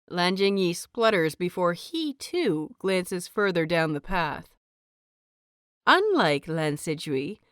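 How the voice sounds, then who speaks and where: A woman with a mid-range voice reads fiction as an audiobook narrator. Her delivery is unhurried and expressive, with deliberate dramatic phrasing, recorded dry. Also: mid-range voice, smooth, no echo.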